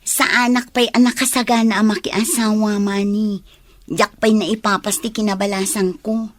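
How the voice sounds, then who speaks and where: A woman's voice: medium pitch, flat delivery, normal speed, no echo, lightly acted. A woman with an Ilocano accent acting a stubborn younger girlfriend in a radio drama. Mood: irritated, defiant. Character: stubborn younger girlfriend not ready to marry.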